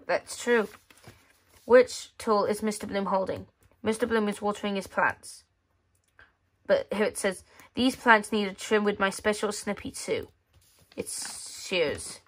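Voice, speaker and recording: Casual female read-aloud voice, medium pitch, halting, with offhand muttered asides. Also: a little hiss or hum. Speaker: magazine reviewer. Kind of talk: casual read-aloud walkthrough of a children's magazine. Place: indoors at a tabletop.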